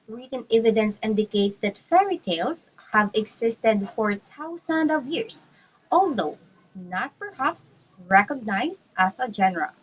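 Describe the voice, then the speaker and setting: A woman narrates educational material from a script. She reads formally at a middling pitch, with faint noise in the background of the recording. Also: lo-fi sound. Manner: reading aloud, formal.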